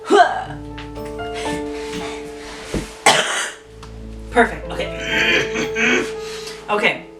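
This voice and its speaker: A woman's voice: medium pitch, far from the mic. Playful chatty woman in an echoey room. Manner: playful, rambling, animated asides. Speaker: vlogger telling an improvised story. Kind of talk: casual chatty to-camera talk.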